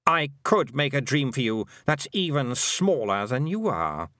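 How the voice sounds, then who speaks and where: A man's voice: medium pitch, very animated, a little slow, a bit bright, dry recording, studio quality, voice pushed up. A man voicing an imperious fairy queen in a storybook, haughty and demanding, with a sweetly menacing condescension. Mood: sweet menace.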